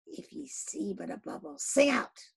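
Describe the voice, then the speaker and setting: A woman voicing an obsessed old one-legged sea captain who swings between hoarse whispers and loud shouts. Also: sits high, animated, a little slow, voice pushed up, full character voice. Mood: fierce. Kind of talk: audiobook reading.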